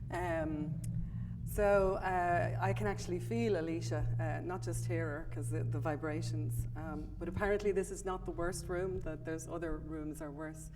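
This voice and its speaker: A middle-aged woman with a Dublin Irish accent gives a chatty, self-deprecating conference talk from a podium microphone, with many ums. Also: fairly low pitch. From Ireland. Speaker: conference presenter. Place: large conference room. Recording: long hall reverb, faint room noise.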